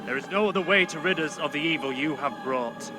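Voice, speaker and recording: A stern judge from a witch-trial video game, played by a man, his voice a little high for a man. He speaks in formal, old-fashioned language with full authority. Music runs clearly behind him. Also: fairly quick, close to an everyday voice. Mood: solemn, stern. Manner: formal, archaic, commanding courtroom speech. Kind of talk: dramatic video game acting.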